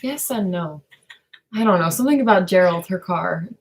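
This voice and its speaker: A young woman chatting with a friend at home during a livestream, tired and quick to laugh. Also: on the low side. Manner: laughing, animated reactions. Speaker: friend co-hosting the stream. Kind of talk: casual chat. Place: home, indoors.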